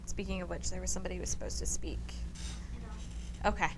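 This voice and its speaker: A woman hosting a tech meetup and making casual announcements to a room in an upbeat, chatty, loud way. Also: fairly low pitch, steady tone, fast, slight background noise. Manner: upbeat and chatty, says she has a loud voice. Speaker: meetup organizer and host. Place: meeting room with an audience.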